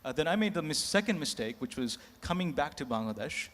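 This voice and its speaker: A man's voice: on the high side, very animated, brisk, fairly bright tone. A man, telling candid, self-mocking stories as a panelist at a conference. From United States. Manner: candid, self-mocking, laughs between lines. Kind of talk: candid anecdotal storytelling. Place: large conference hall. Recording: echoey hall reverb.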